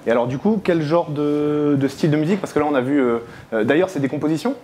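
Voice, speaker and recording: A man's voice: a little high, quick pace, a little mellow. A man hosting a casual studio interview, speaking informally and encouragingly to his guest. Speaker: interviewer, host. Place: indoor studio.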